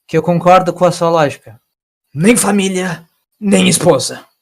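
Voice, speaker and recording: A man voicing a furious old alien time traveler in an audio story, scolding with sarcastic, forceful questions. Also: high voice, moderate pace, gravelly, pitched above the performer's own voice. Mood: stern, distrustful.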